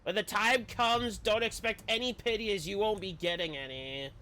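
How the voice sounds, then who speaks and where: A man's voice: high voice, normal speed, bright, forceful tone, a little hiss or hum. A man voicing a haughty, spiteful noble's servant from a fantasy game, speaking with pompous scorn. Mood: scornful, threatening.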